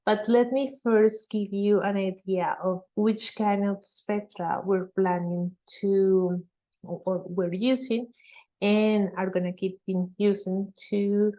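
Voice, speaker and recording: A woman researcher giving an explanatory scientific talk in a halting manner. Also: medium pitch, mellow. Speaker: researcher presenting at a conference.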